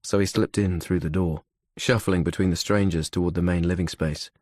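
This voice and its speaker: A man's voice: sits low, fairly even intonation, normal speed, darkish tone, dry recording, studio quality. A man reading scripted thriller narration as an audiobook narrator.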